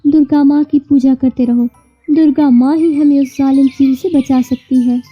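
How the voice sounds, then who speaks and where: A woman's voice: sits high, steady tone, normal speed, dark tone, highs cut off, faint music behind, natural voice. A woman voicing a devout, protective young big-sister sparrow in a cartoon, speaking earnestly. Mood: devout, pleading. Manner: earnest, reassuring.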